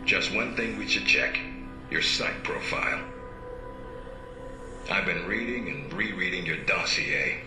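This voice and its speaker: A man playing a stern spy agency superior in a video game, speaking with brisk, sardonic authority. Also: low voice, animated. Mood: businesslike, needling. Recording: roomy, loud music underneath.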